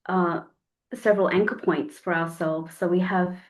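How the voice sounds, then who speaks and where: Croaky-voiced woman in her forties. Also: lowish voice, steady tone, moderate pace. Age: 40s. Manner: reflective, searching for words, repeats phrases. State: slightly croaky voice. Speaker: guest, counsellor and somatic sexologist. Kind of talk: casual podcast conversation.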